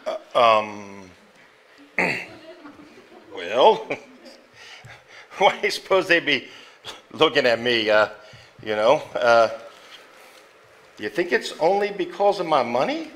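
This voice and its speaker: An actor on a theater stage playing a flustered, henpecked husband, stammering and defensive. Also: male voice, medium pitch, big pitch swings, slow pace, long pauses, fairly bright tone, heavily acted. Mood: flustered, boastful. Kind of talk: live stage comedy-drama. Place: theater stage before a live audience.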